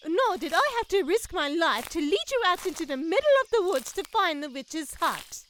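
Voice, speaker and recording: A teasing, cautious female fairy in an audio comedy. Her pitch is high and very animated, with faint crickets and woodland sound behind her. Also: normal speed, slight background noise, heavily acted. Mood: indignant. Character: teasing, cautious fairy friend Ruby, young woman. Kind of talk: fantasy comedy audio drama.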